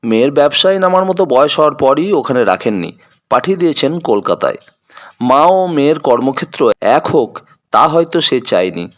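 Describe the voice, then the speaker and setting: A man narrating a scripted detective audio story in a dramatic storytelling style. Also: a little high.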